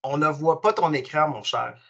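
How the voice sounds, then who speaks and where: A man's voice: on the high side, expressive, moderate pace, creaky, fairly bright tone, husky, muffled recording. A man with a Québécois French accent, hosting a webinar over a video call in an enthusiastic, friendly way. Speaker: webinar host. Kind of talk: casual webinar presentation.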